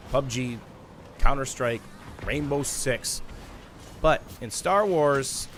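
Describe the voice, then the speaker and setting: A man's voice: medium pitch, fairly bright tone. A man presenting gameplay commentary to viewers like a gaming YouTuber, emphatic and stretching the words he stresses. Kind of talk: scripted-sounding gameplay commentary, addressing viewers. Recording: clear background noise.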